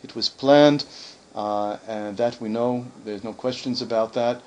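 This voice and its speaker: A man's voice: medium pitch, unhurried, a little mellow, poor audio. A man speaking for a community, answering a visiting group's questions in a conversational way, with many fillers and self-corrections.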